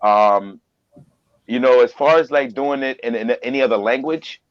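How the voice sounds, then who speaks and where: A man's voice: mid-range voice, normal speed, dry recording. A man talking casually as a guest, often repeating words and phrases for emphasis, on a lo-fi recording. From Trinidadian family. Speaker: guest, voice-over performer and business owner. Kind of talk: casual chat. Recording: dull lo-fi audio.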